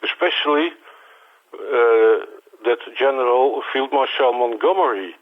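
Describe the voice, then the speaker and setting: A man in his sixties from the Netherlands with a Dutch accent, a native Dutch speaker, answering interview questions in a halting way, repeating words and restarting often. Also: mid-range voice. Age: 60s. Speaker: interview guest. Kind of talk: phone interview. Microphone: telephone. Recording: tinny phone line.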